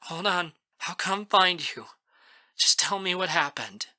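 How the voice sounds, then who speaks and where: A narrator voicing a concerned, take-charge female friend in an audiobook, with a gentle but urgent, caring manner. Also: medium pitch, lively intonation, a little slow, pitched above the performer's own voice. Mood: reassuring.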